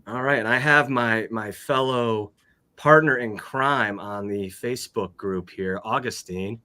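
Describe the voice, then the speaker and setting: A man's voice: fairly low pitch, a little slow, bright, forceful tone. A man hosting a casual livestream over a video call, friendly and rambling as he greets guests and reads out names. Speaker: host.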